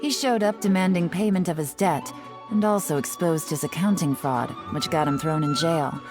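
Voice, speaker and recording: Game spymaster: low female voice, composed and shrewd, sly teasing edge, measured delivery over prominent music actress in character. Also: close to an everyday voice. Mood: sly, businesslike. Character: cool, shrewd, teasing female intelligence agent. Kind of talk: scripted video game character monologue.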